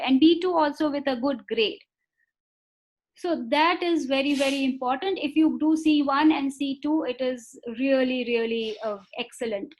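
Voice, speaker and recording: A woman giving a webinar lecture over a video call. She talks in a measured way, often repeating words for emphasis and pausing on fillers. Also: high voice, poor audio. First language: not Marathi. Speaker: webinar speaker on foreign languages. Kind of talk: explanatory lecture.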